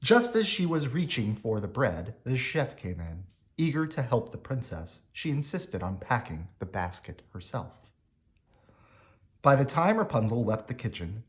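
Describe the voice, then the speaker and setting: A man reads a bedtime story for children. His voice sits fairly low but moves widely up and down, with animated, emphatic phrasing throughout. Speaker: storybook reader. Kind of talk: expressive children's bedtime story read-aloud.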